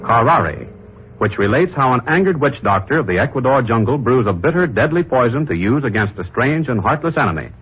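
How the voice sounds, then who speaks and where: A man's voice: mid-range voice, big pitch swings, brisk, narrow, tinny audio, soft background music. A man reading scripted credits and previews as a formal, dramatic old-time radio announcer. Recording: thin, band-limited vintage radio recording.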